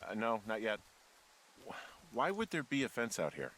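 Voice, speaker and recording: A man's voice: lowish voice, wildly swooping pitch, moderate pace, a bit bright, exaggerated voice. A man playing a laid-back, middle-aged American fire lookout in a naturalistic video game, dry, understated and a little hesitant. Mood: puzzled.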